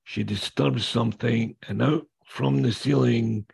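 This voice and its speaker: A man in his sixties with a raspy voice from damaged vocal cords, speaking plainly and bluntly as a guest speaker telling his own story. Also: medium pitch, steady tone, a little slow, a little mellow. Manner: plainspoken, blunt, mild swearing. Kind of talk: personal testimony talk.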